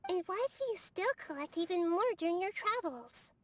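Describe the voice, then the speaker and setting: A woman voicing a small, gentle, curious child deity in a video game, thoughtful and soft-spoken. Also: extremely high pitch, animated, normal speed. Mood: curious, earnest. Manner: soft-spoken, thoughtful, quizzical, speaks in animal analogies. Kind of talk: video game character dialogue. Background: music.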